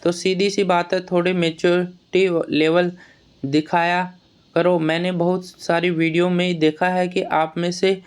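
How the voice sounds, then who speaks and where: A man's voice: high-pitched, flat delivery, unhurried, clear, resonant tone. A man giving an informal, explanatory update to students in a plain-spoken, admonishing way, often repeating words and phrases. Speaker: exam news vlogger advising job aspirants.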